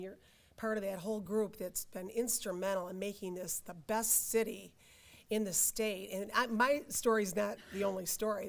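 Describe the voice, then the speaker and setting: Brief: hoarse older woman, county official, chatty podium remarks with many fillers. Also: mid-range voice. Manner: chatty, halting with fillers and restarts. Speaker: county commissioner. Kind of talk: ceremony speech. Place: library dedication ceremony.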